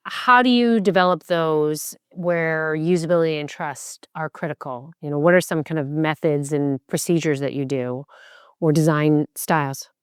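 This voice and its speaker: A woman's voice: deep voice, normal speed, clear, resonant tone, no room sound, studio quality. A woman hosting a podcast interview, putting questions to her guests.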